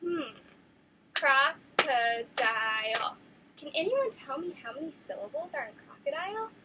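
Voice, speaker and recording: A young woman teaching a class, reading a story aloud to children in an encouraging, animated way and stopping to ask them questions. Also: high-pitched, unhurried, noisy background. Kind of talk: classroom read-aloud lesson.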